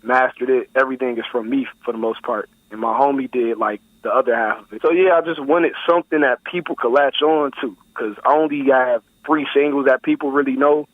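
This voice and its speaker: A man's voice: mid-range voice, fairly even intonation, brisk, hardly any pauses, no room sound. A nineteen-year-old man from Buffalo, New York, a rap artist talking about his music in a laid-back, slangy way as a guest on a phone interview. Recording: tinny phone line, dry.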